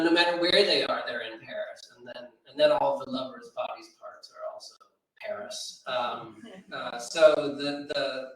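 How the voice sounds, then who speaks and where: Echoey, hesitant man speaking at a literary event. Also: medium pitch. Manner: hesitant, digressive, thoughtful. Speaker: poetry editor and event speaker. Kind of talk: informal spoken commentary at a literary event. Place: large reverberant room at a live event. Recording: echoey room, distant microphone.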